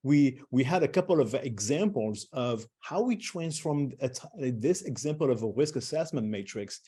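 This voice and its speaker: Middle-aged male presenter: mellow, relaxed tone, mid pitch, talks loosely with fillers and restarts. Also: normal speed, husky. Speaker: webinar presenter. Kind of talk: webinar presentation.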